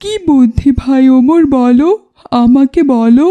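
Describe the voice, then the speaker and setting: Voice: high voice, measured pace, lo-fi sound, heavy background noise. A voice actor playing an earnest young village schoolboy in a cartoon, explaining things plainly. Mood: concerned. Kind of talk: cartoon voice acting.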